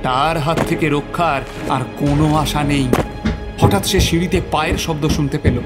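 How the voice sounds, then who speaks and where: A man's voice: a little high, fairly even intonation, normal speed. A man narrating a horror audio story from a script in a dramatic, suspenseful, theatrical manner. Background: music.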